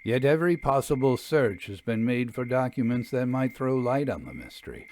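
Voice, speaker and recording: A man reading the dialogue of a thoughtful, reserved storybook gentleman in a measured, formal manner. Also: mid-range voice, lively intonation, lightly acted. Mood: thoughtful, doubting. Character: thoughtful, reserved gentleman narrator in love, male. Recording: clear background noise.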